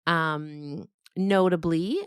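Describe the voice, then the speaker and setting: A woman's voice: deep voice, fairly even intonation, very slow, clear, resonant tone, no echo, clean studio sound. A woman hosting a podcast, speaking casually to her listeners as she gives announcements. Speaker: podcast host and creator.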